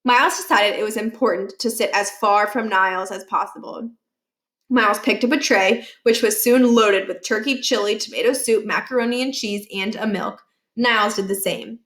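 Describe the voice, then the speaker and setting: A woman reading a children's book aloud in an expressive storytelling style. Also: medium pitch, moderate pace. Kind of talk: expressive read-aloud narration.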